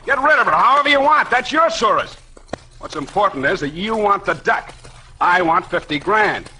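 Hardboiled american detective, old radio show style, dry wisecracks, clipped and tough. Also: male voice, high-pitched, expressive, moderate pace, pushed and bright, a little hiss or hum, exaggerated voice. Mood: agitated, insistent. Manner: clipped, sardonic, tough talk. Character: hard-boiled male private detective, tough, wisecracking, cynical. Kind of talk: 1940s radio detective acting and narration. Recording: 1940s radio recording.

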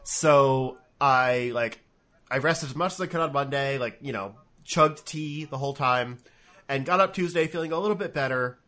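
A man's voice: mid-range voice, moderate pace, bright, forceful tone. A man co-hosting a casual online show, congested and sniffly from a cold, rambling with lots of fillers and restarts. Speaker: podcast co-host. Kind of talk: casual chat. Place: home room. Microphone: webcam setup, remote call.

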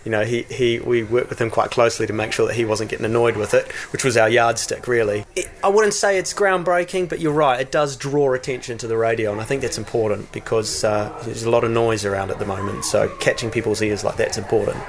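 A man's voice: mid-range voice, fast talker, hardly any pauses. A man who produces radio promos, talking casually in an interview with frequent fillers and restarts. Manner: relaxed, hesitant, with many fillers and restarts.

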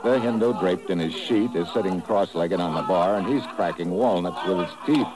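An actor playing a tough, wry American secret agent in a 1950s radio drama, clipped and unflappable. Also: male voice, sits low, normal speed, crowd noise, lightly acted. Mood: brisk, wry. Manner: clipped, hard-boiled, wisecracking. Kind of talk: 1950s radio detective narration and dialogue.

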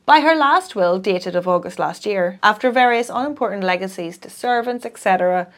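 Soothing, measured male family lawyer, read by a woman. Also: mid-range voice, lively intonation, lightly acted.

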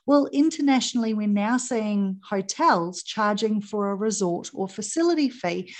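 A woman's voice: mid-range voice, darkish tone. A woman hosting a tourism podcast, talking listeners through industry trends in an upbeat, promotional way. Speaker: podcast host. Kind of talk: podcast host monologue.

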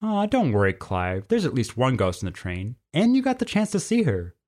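A cheeky, skeptical young winged boy from a storybook, voiced by a man reading aloud. His voice is pitched up, casual and bluntly inquisitive. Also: wildly swooping pitch, moderate pace, darkish tone. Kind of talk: storybook character voice.

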